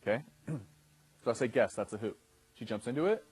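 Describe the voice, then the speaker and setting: Husky-voiced man in his thirties teaching. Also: mid-range voice, lively intonation, a little fast, darkish tone, dry recording. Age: 30s. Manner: conversational, often checks in with "okay?". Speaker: dating coach instructor. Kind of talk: instructional talk to a class.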